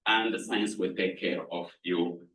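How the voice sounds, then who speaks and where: A middle-aged man from Sudan, speaking, gives an earnest, measured lab introduction as a professor, pausing to find words. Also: low voice, fairly even intonation, fairly bright tone, a little hiss or hum. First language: Arabic. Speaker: professor presenting his lab. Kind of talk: prepared lab introduction talk. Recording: voice somewhat far from mic.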